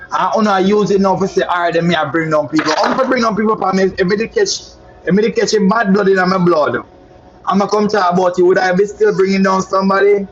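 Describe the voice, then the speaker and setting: Boastful Jamaican man, muffled lo-fi sound. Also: sits high. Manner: boastful, flamboyant patois chatter, calls listeners "my love". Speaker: social media personality. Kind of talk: casual storytelling monologue.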